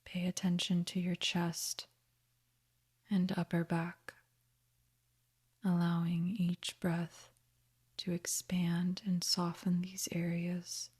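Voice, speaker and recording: Slow, breathy woman guiding meditation. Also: fairly low pitch, completely flat delivery. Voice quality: soft, breathy, half-whispered. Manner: gentle, soothing, unhurried instruction. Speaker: meditation guide. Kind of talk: guided meditation narration.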